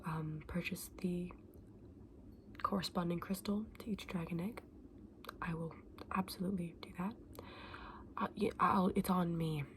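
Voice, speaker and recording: A woman playing a kindly, eccentric fantasy shopkeeper in an ASMR roleplay, whispering softly and breathily with gentle, polite, quaint phrasing. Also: lowish voice, lightly acted. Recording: clearly audible background noise.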